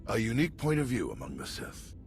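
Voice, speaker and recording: A man playing a courteous, resolute old commander in a sci-fi game, speaking formally and deliberately with a gravelly voice. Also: lowish voice, wildly swooping pitch, faint music behind, full character voice. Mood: courteous, intrigued. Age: older.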